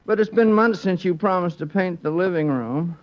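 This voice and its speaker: A man's voice: high voice, normal speed, lightly acted. An actor playing a meek, apologetic male tenant in a 1950s radio comedy. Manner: timid, apologetic. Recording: dull old radio recording.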